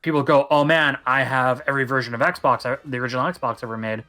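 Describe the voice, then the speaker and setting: A man's voice: mid-range voice, steady tone, fast talker, bright, forceful tone. A young man co-hosting a casual podcast, rambling and emphatic as he talks at length. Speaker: podcast co-host. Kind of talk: casual chat.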